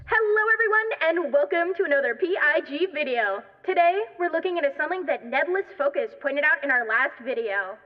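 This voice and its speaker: A woman's voice: high voice, animated, brisk, few pauses. A young woman playing a casual, chirpy host of a paranormal investigation vlog in an audio drama. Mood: upbeat. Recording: lo-fi video audio with music.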